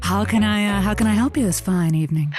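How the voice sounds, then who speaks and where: A woman's voice: medium pitch, quick pace, darkish tone, husky, faint music behind, lightly acted. An actress playing a female radio advice host who is awkwardly game and slyly jealous, with hesitant, theatrical delivery and sardonic asides. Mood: bright on-air patter. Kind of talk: scripted comedy audio drama.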